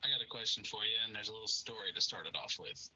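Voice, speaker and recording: A man's voice: fairly low pitch, fast, sharp tone, muffled recording, a little hiss or hum. A man co-hosting a casual podcast, telling a story in a friendly way and leading up to a question. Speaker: podcast co-host.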